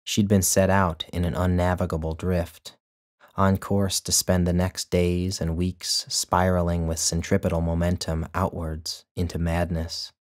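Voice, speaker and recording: A man, the author, narrating his own literary short story in a measured, even way. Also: low voice.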